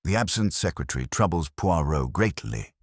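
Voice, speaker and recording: A meticulous older detective, as a man puts him on, speaking in a measured, formal way. His voice sits very deep but moves lively up and down. The sound is close and dry. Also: slightly rough, dry recording, full character voice. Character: fussy, meticulous older male Belgian detective.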